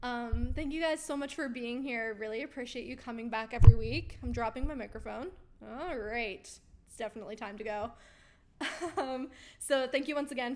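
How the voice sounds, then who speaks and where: A chatty woman hosting a live stream, her fairly high voice going hoarse as she reads out viewer comments. She talks casually and upbeat, with frequent ums and easy laughs. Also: darkish tone. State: losing her voice. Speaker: host of a weekly live Q&A stream. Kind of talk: casual livestream chat, reading viewer comments aloud.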